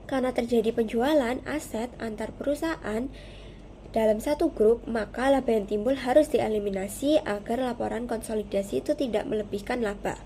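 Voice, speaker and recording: A woman's voice: on the high side, normal speed, mellow, slight background noise. A young woman giving a prepared class presentation as a student, explaining step by step in a formal, methodical way. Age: young adult.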